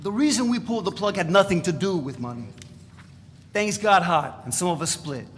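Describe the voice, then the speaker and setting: A man's voice: high-pitched, expressive, brisk, a bit bright. A man playing a brash, wry ex-radical who recounts wild exploits emphatically in a live dramatic reading of a novel. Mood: defiant, emphatic. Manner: emphatic storytelling, piling up lists. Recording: echoey hall, hissy live recording.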